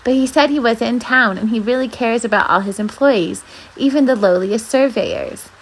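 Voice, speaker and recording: A woman's voice: a little high, normal speed. A woman voicing a nervous storybook father who puts on cheer and sounds hesitant and eager to please. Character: nervous working dad, putting on cheer for children.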